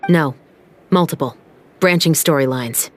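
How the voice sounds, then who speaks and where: A woman playing a serious, intimidating patrol captain in a video game, speaking in a clipped, deadpan way. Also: low voice, a little slow, slight background noise. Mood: cool, absorbed.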